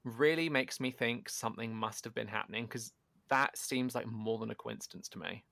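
A man co-hosting a podcast with a gravelly edge to his voice and a loud, animated way of talking. He pokes fun at himself as he chats. The sound is close and dry, with no room around it. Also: mid-range voice, moderate pace, no room sound. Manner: animated, self-deprecating, loud. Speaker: podcast co-host. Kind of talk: casual podcast chat. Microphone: home podcast microphone.

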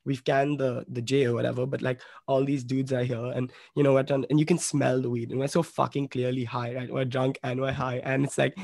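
A young man from Bombay tells a story casually, full of fillers and swearing. His voice has a gravelly fry and stays fairly level in pitch, and the close, dry sound has no room echo. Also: little pitch movement, a little fast, hardly any pauses, a little mellow. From Bombay, India. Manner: rambling, swears a lot, many "like" fillers. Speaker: podcast guest.

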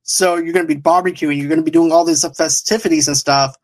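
A man's voice: a little high, fairly even intonation, quick pace. A man hosting an online pop culture show in casual chat, talking in a rambling, repetitive way.

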